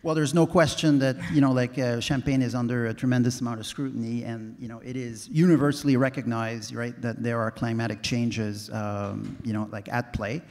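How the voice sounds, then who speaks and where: A man in his forties speaking as a winemaker on a conference panel, animated and full of "you know, like" fillers, holding a handheld mic. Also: mid-range voice, brisk, hardly any pauses. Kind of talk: panel discussion. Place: stage in a large hall. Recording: long hall reverb.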